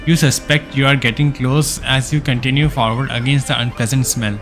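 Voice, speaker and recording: A man's voice: medium pitch, steady tone, brisk, fairly bright tone. A man with a mid-range voice is streaming a game. He reads the story text aloud, then breaks off into casual, chatty reactions. Music plays faintly behind him.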